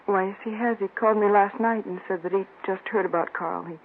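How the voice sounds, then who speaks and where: A woman's voice: medium pitch, steady tone, fairly quick, natural voice. Trusting, subdued American widow played by a woman, hesitant 1950s radio acting through thin, slightly noisy vintage audio. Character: newly widowed housewife, polite, trusting and subdued. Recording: narrow, noisy old radio recording.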